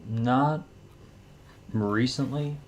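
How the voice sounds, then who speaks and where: A male actor plays a weary country widower in an audio drama. He talks in a folksy, plainspoken way, in a mid-pitched male voice. He sounds like a homeowner worn down by a haunting. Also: very slow. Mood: guarded. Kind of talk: audio drama character dialogue.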